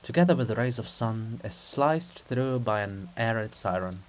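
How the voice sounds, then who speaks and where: A play reader delivering scripted stage directions. The style is that of a dramatic literary reading, with expressive movement in pitch. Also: moderate pace, a little hiss or hum.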